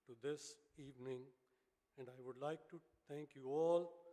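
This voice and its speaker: A man with a Pakistani English accent giving a formal keynote speech as a banking executive, hoarse and clearing his throat often. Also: fairly high pitch, darkish tone. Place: large hotel conference hall. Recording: reverberant hall.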